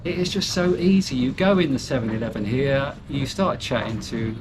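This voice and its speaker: A man's voice: medium pitch, normal speed. Rambling older British man, noisy background. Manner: rambling, candid, self-deprecating, with frequent fillers and restarts. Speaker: interview guest. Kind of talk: casual chat.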